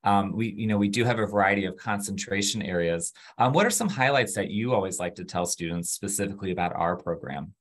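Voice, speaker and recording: A man's voice: low voice, fast talker, few pauses. A man hosting a university webinar over a video call, upbeat with frequent fillers. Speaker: webinar host, admissions. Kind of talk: webinar presentation.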